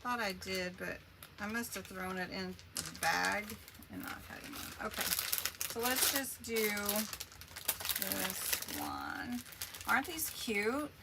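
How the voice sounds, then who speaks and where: A woman's voice: medium pitch, very animated, slow, gravelly, heavy background noise. A woman hosting a casual craft livestream, chatting along in a rambling way as she works and answers viewer comments.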